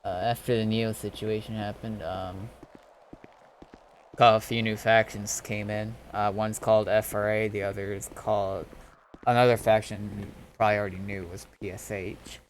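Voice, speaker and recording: A man giving a casual, rambling gaming commentary in a halting way, often trailing off. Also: lowish voice, flat delivery, slight background noise, poor audio. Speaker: gaming video host. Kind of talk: casual rambling gameplay commentary recapping roleplay events.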